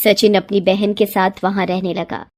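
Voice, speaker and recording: A woman narrating a scripted moral story in an expressive, dramatic storytelling manner. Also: mid-range voice, normal speed.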